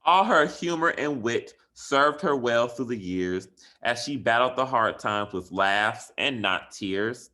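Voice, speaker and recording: A man reading a children's picture book aloud as a bedtime story, with animated emphasis, then giving school announcements to parents. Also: mid-range voice, slow. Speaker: school administrator.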